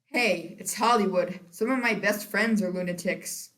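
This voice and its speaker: A woman's voice: medium pitch, moderate pace, a bit bright, natural voice. A teenage girl acting a flustered, placating Hollywood film director in a school stage comedy. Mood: casual. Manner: theatrical, placating.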